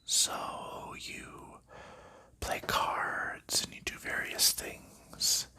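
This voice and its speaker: Slow whispering man, bright hiss. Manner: soft, unhurried whisper with frequent fillers. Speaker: ASMR creator. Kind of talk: whispered ASMR board game show-and-tell.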